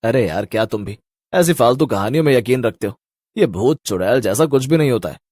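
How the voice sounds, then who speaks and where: A man's voice: mid-range voice, expressive, fairly quick, a little mellow, no room sound, studio quality, pitched below the performer's own voice, lightly acted. A man voicing a cocky skeptic who scoffs and boasts in a Hindi cartoon story. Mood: scoffing.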